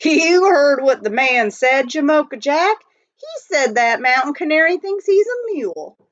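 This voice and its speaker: A woman voicing a rough, joshing gold-rush miner with folksy frontier grammar in a storybook reading. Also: sits high, lively intonation, measured pace, pitched above the performer's own voice. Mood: teasing. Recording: dull lo-fi home recording.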